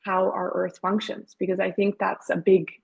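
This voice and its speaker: A woman's voice: mid-range voice, flat delivery, moderate pace, gravelly, husky. A woman in her thirties, raised in Canada with Dutch as a first language, telling her career story as a guest on a webinar chat with many fillers. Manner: warm storytelling, frequent fillers. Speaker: guest author.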